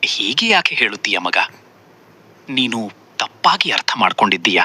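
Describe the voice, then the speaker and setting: A man's voice: fairly low pitch, sing-song, normal speed, noisy background. A man playing a devoted, earnest village husband and father in a moral story. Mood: sincere.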